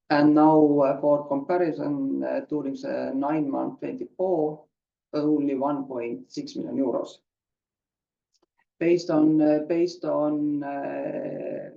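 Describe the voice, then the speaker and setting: A man with a relaxed, mellow tone and a somewhat high pitch presents company financials on an earnings call. He speaks slowly and haltingly, with many filler sounds. The audio is muffled and roomy. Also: creaky. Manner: hesitant, halting delivery with frequent filler sounds. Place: conference call.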